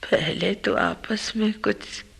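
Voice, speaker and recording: Frail, breathy, slow-speaking elderly mother. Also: medium pitch, fairly bright tone, heavily acted. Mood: weary, halting. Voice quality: husky, breathy, feeble. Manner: halting, searching slowly for words. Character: ailing elderly mother in hospital, weary and gentle. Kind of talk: Hindi radio drama acting.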